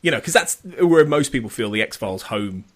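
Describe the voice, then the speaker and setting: Bouncy podcast host guy, pitch all over the place, tripping over his words. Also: animated. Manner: eager, stammering restarts, quick to laugh. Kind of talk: podcast interview.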